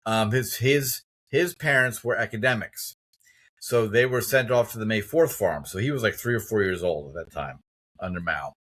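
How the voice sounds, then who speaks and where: A man's voice: on the low side, normal speed, fairly bright tone. A man in his fifties from the United States hosting an interview podcast, telling stories in an emphatic, opinionated way. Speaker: podcast host. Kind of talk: conversational interview.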